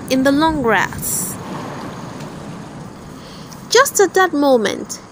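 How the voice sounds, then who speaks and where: Slow, high-pitched woman reading a storybook. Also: noisy background. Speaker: storybook narrator. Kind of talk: read-aloud children's story narration.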